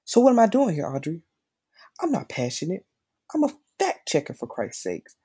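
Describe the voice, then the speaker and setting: A man performs a conflicted, lovestruck fact checker in a stage monologue. His voice is dark and raspy. He is intense and pleading, leaning hard on his words as he tries to stay rational. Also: a little high. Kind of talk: dramatic monologue reading.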